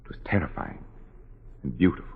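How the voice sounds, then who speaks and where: An actor playing a grave, guarded lawyer with a troubled conscience, speaking earnestly and formally in a 1940s radio drama. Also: male voice, brisk, lightly acted. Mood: brooding. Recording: dull 1940s radio recording.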